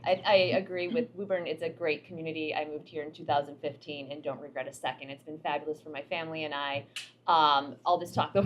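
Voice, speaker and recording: A woman's voice: sits low, slight background noise. A woman on a community panel, chatting in an upbeat way.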